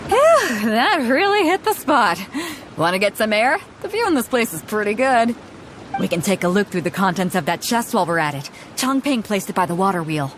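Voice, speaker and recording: A woman's voice: medium pitch, sing-song, normal speed, slight background noise, lightly acted. An actress voicing a confident, laid-back female ship captain in a game cutscene, laughing easily. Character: bold, hearty female ship captain, easygoing. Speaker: game character. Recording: background game music under the voice.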